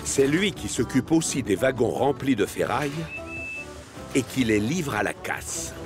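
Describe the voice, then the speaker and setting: A man's voice: mid-range voice, very animated, measured pace, music in the background. A man narrating a scripted children's cartoon story as its storyteller.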